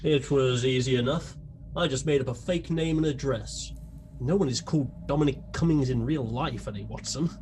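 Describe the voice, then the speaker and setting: A performer playing a vain gentleman detective in a comedy radio play, pompous, dry and self-important. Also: medium pitch, moderate pace, slight background noise, lightly acted. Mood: breezy.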